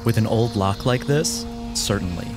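A man narrating a horror tabletop game as game master, in a deliberate, descriptive, atmospheric storytelling manner. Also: lowish voice, over music.

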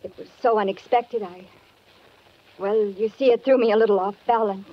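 An actress playing a jealous, scheming woman in 1940s radio drama, genteel and hesitant, with measured delivery. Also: mid-range voice, lightly acted. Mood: hesitant, contrite. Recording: muffled 1940s radio recording.

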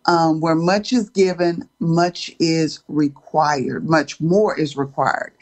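Female co-host, excited and grateful, unhurried delivery. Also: low voice. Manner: enthusiastic, warmly thankful. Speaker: podcast co-host. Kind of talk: casual chat with preaching tone.

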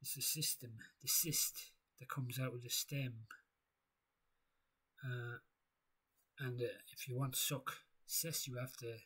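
A man with a husky voice giving a rambling philosophical monologue, halting and repeating himself as he thinks aloud. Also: medium pitch, bright, forceful tone. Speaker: video creator.

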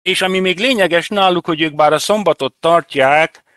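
An older man, an interview guest, explaining things conversationally in measured, separate phrases. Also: fairly high pitch, fairly bright tone, smooth, dry recording, studio quality. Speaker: interview guest, church representative.

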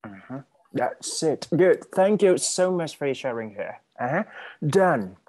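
Male teacher, brisk prompts, encouraging, dry close sound. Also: fairly high pitch, sing-song, a little mellow, no room sound. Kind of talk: classroom interview practice. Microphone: video call.

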